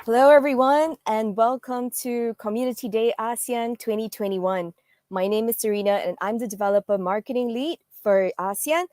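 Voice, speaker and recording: A woman's voice: fairly high pitch, moderate pace, a little mellow, no room sound, studio quality. A woman hosting an online conference, delivering a welcome address to attendees. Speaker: event host, developer marketing lead.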